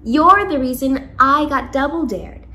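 A brave young storybook boy, acted by a woman, cheerful and eager to help. The voice is high and swings widely in pitch. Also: animated, a little slow, pushed and bright, exaggerated voice.

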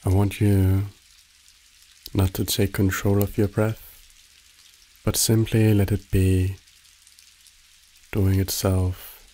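A man narrating a guided meditation in a soothing, measured way, giving short instructions with long pauses. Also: low voice, level tone, warm tone, a little hiss or hum, low-quality recording.

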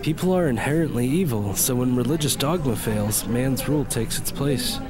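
A man's voice: mid-range voice, expressive, normal speed, natural voice. Male, earnest boyfriend in an audio drama, played by an actor, heartfelt delivery with prominent music underneath. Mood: calm, philosophical. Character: earnest boyfriend Charlie, arguing calmly then distraught.

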